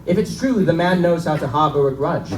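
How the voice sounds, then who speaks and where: A man's voice: a little high, expressive, brisk, a little mellow, close to an everyday voice. A man playing a breezy, gossipy young student who talks in chummy old-fashioned slang, at a live dramatic reading in an echoing room. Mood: jaunty, gossipy. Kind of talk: live dramatic reading of gothic pulp tale. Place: live stage reading in a bookstore. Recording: echoey live recording, distant mic, faint noise.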